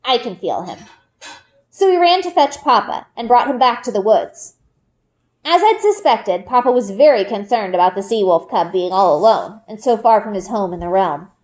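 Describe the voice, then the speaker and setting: A woman reading a children's book aloud like a teacher, with expressive storytelling emphasis and dramatic pauses. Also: medium pitch, low-quality recording.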